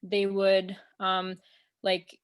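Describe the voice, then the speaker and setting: A woman's voice: mid-range voice, monotone, very slow, clean tone. Young woman, american, earnest chat, lots of "um" and "like", video call from a small room at home. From United States. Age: young adult. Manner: earnest, thoughtful, many fillers like "um" and "like". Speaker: interview guest. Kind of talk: casual conversation.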